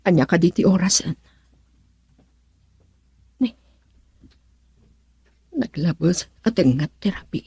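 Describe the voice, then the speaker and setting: A woman's voice: on the low side, expressive, slow pace, long pauses, a little mellow, slightly rough, dry recording, heavily acted. An actress playing a worried, suspicious woman brooding aloud in an Ilocano radio drama monologue. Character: worried suspicious woman brooding over her absent partner.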